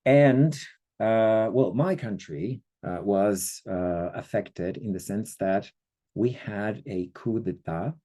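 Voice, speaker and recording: A middle-aged man from Chile giving an online history lecture, speaking haltingly with many fillers and checking in with listeners. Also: fairly low pitch, mellow. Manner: slow, hesitant, many fillers, checks in with the audience.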